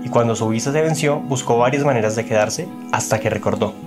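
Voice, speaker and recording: A man hosting a travel podcast, narrating a scripted, measured introduction. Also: mid-range voice, music in the background. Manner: calm, measured presenter reading. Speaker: podcast host.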